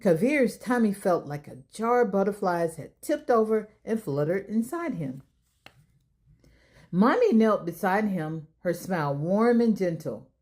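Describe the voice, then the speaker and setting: A woman hosting a children's storytime, reading aloud in an expressive way as if talking straight to young listeners. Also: medium pitch, measured pace. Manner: expressive, addressing children directly. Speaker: storytime reader and host. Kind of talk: children's read-aloud narration.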